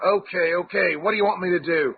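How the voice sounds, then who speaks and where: An actor playing a shifty crook with a hoarse, gravelly voice and rough slang with British turns, in an old-time radio adventure style. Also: male voice, high voice, quick pace. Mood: cornered, grudging. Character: shifty crook posing as a tough American adventurer. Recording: tinny narrow-band radio recording with hiss.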